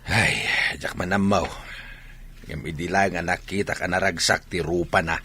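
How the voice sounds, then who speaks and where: A man playing an older Ilocano village father, curious and doubting, with a gruff, husky voice in a radio drama. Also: sits low, steady tone, moderate pace, sharp tone, full character voice. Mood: musing.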